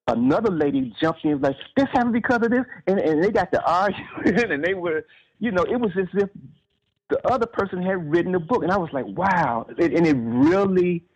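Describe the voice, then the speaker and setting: Man in a halting, dull-sounding interview. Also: a little high. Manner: halting, repeats words while thinking. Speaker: author being interviewed. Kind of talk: conversational interview, telling anecdotes.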